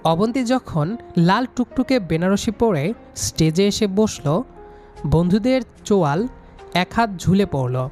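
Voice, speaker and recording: A man's voice: high-pitched, expressive, measured pace, long pauses, darkish tone. A man narrating a scripted first-person story as the storyteller. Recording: music behind the voice.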